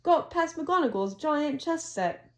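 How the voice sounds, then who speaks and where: A man's voice: very high-pitched, expressive, measured pace, a bit bright, roomy sound, pitched above the performer's own voice, full character voice. A woman reading aloud as a proud young male prefect, eagerly boasting about his younger brother.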